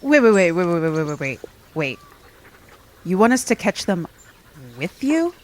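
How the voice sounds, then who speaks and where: A woman playing a bold, excitable young member of a group of friends in an audio drama. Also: on the low side, normal speed. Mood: startled.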